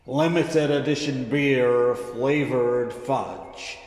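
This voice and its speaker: A man's voice: medium pitch, fairly even intonation, very slow, darkish tone. A man playing a pompous tournament announcer at a castle, grandiose and formal, in a comedy audio drama. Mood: grand, formal. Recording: roomy, long reverb, music behind.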